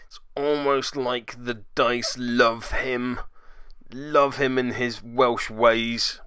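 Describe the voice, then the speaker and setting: A man's voice: mid-range voice, fairly even intonation, very slow, a bit bright, clean tone, no room sound. A man giving casual play-by-play commentary on a tabletop game, with jokey, dry asides. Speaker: host and player narrating a tabletop game report.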